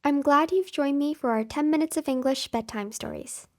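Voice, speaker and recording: A woman narrating a scripted children's bedtime story as host, with careful, expressive enunciation. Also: high-pitched, moderate pace.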